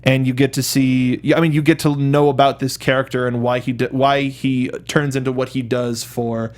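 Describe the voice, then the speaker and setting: A man's voice: mid-range voice, flat delivery, moderate pace. A man co-hosting a film review show, chatting casually and informally with an enthusiastic streak.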